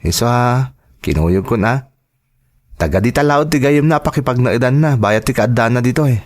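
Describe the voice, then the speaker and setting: A man acts as a dutiful grown son in an Ilocano drama. His pitch sits in the middle, and he speaks with an Ilocano accent, earnest and respectful toward his elders. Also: animated, moderate pace, close to an everyday voice. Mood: tired, earnest. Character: earnest, respectful adult son hoping to work abroad. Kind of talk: Ilocano radio-style drama acting.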